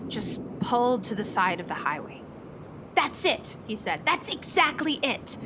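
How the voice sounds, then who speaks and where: Earnest woman storm chaser over rumbling noise, acted. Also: close to an everyday voice. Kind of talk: naturalistic radio play acting.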